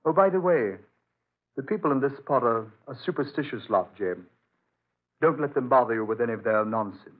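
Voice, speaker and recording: Brisk, firm island doctor , acted by a man, medium pitch, guarded and fatherly, narrowband vintage radio drama. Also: lively intonation, lightly acted. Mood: genial. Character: reassuring but guarded doctor, protective father on remote island. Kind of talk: old-time radio drama acting. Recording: muffled narrowband old radio recording.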